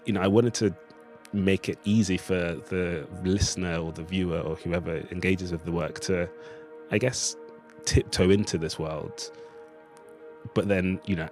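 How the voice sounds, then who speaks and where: A man's voice: sits low, steady tone, moderate pace, darkish tone, soft background music. A man giving a thoughtful, discursive interview as a writer, speaking in a reflective conversational way. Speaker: writer of a radio play, interviewee.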